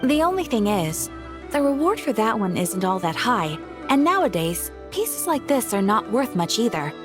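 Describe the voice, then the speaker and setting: A woman's voice: a little high, big pitch swings, normal speed, music in the background. An actress playing a courteous, formal female clerk in a video game. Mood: polite. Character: polite, soft-mannered female commission clerk. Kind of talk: video game character acting.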